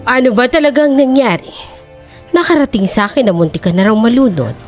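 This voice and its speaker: A woman's voice: on the high side, a little fast, close to an everyday voice. A woman voicing a worried, stern queen who scolds her son. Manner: anxious, scolding. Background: faint music.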